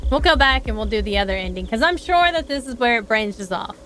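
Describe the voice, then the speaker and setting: High-voiced woman streaming a visual novel. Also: animated, fairly quick, a bit bright, a little hiss or hum. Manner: casual, sweary. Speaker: VTuber game streamer. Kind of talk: live visual novel playthrough, reading aloud with asides. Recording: game music behind the voice.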